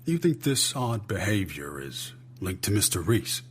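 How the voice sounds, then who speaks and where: Low-key, skeptical agency chief, lively intonation. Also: male voice, measured pace, slight background noise. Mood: skeptical, curt. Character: weary, skeptical, gruff male intelligence agency chief. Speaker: senior agency official. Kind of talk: audio drama acting.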